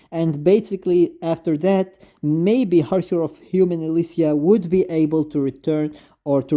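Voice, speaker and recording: A man who is not a native English speaker gives unscripted, gushing video game fan commentary, halting and often repeating himself. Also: fairly high pitch, mellow. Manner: effusive, halting, repetitive. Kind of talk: unscripted fan commentary, reading lines aloud.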